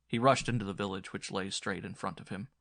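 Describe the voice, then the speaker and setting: Gravelly low-voiced man narrating horror. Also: fairly even intonation, fairly quick, a bit bright, dry recording, clean studio sound. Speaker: audiobook narrator. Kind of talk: dramatic scripted narration of a horror story.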